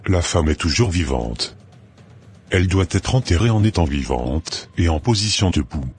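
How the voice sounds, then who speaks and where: A man voicing a sinister, commanding older marabout sorcerer in a French cartoon, speaking in a measured, solemn way. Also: low-pitched, very animated, a little mellow, breathy edge, full character voice. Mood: cold, instructing. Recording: music behind the voice.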